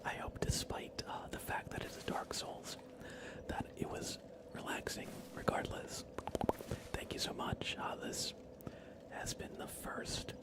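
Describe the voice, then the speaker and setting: A man whispers his way through live video game commentary in an ASMR style. His delivery stays hushed and soothing, with casual, unscripted phrasing throughout. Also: unhurried. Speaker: game livestreamer.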